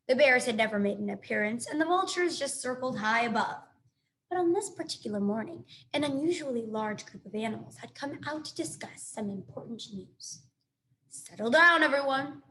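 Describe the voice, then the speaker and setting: A woman teacher reading a children's story aloud with expressive, carefully phrased narration and dramatic emphasis. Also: fairly high pitch, moderate pace, a little hiss or hum, poor audio.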